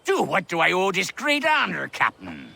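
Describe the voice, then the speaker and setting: An actor playing a crotchety old sailor in a video game, with a raspy voice and a taunting, folksy seafarer's drawl. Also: male voice, high-pitched, wildly swooping pitch, normal speed, bright, forceful tone, exaggerated voice. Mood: sly, mocking. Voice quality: raspy, creaky. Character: crotchety old sailor hoarding trinkets and pirate letters, mocking. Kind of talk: video game character acting.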